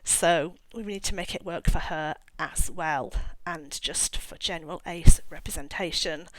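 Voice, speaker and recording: A middle-aged woman with a fairly low voice, recorded close and dry. She talks casually, like a writer chatting to her followers, rambling a little and slipping in wry asides at her own expense. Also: unhurried, a bit bright, dry recording, rough recording. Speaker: author presenting her own work. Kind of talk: casual talk to camera.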